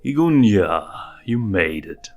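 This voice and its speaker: A man voicing a calm, fatherly lion king with measured authority in a storybook reading. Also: on the low side, muffled recording, faint music behind, full character voice. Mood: welcoming.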